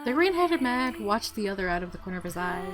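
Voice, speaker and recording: A woman's voice: medium pitch, sing-song, quick pace. A woman doing gameplay commentary, reacting in a giggly way and reading story text aloud dramatically, with game music behind her. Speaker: game streamer, let's player. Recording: music under the voice.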